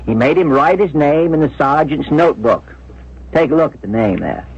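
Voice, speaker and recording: An actor playing a grave, considerate police inspector in a 1970s radio drama, speaking in a measured, deliberate, official way. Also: male voice, fairly high pitch, animated, vocal fry, slightly rough, dry recording, heavily acted. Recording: muffled vintage radio broadcast.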